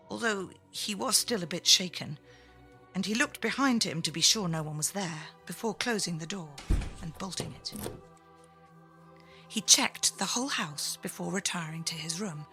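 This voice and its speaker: A woman narrating a children's story with theatrical, deliberate, suspenseful phrasing. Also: on the low side, bright, forceful tone, soft background music.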